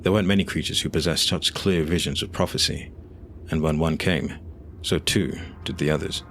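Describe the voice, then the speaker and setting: A man narrating a scripted fantasy audio drama in a measured, solemn way, over faint noise and ambience. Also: a bass voice, gravelly, fairly bright tone.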